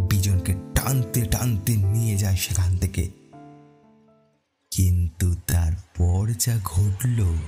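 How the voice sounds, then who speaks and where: A man narrating a horror story dramatically, with suspenseful pauses. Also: sits low.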